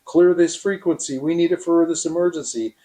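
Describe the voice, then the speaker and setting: A man explaining his experience as a radio operator in an interview, with halting, deliberate phrasing. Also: fairly high pitch, dark tone.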